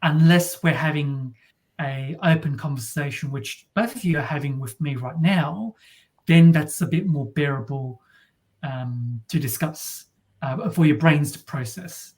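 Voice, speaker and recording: A woman from Australia with a deep voice, talking as an interview guest in a wry, halting way with many restarts. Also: a little mellow. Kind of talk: casual interview talk.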